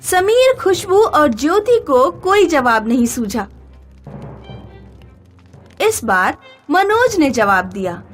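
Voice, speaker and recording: Female narrator for children's moral tales: high-pitched, very expressive melody, unhurried delivery. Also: faint music behind. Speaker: storyteller. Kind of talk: scripted children's story narration with voiced dialogue.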